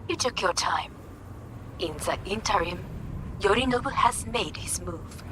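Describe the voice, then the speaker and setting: Cool, formal heiress, played by an actress, noisy ambience. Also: female voice, mid-range voice, animated, natural voice. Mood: composed, restrained. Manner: measured, formal, uncontracted speech. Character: formal, composed corporate heiress, cool and commanding. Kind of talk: video game voice acting.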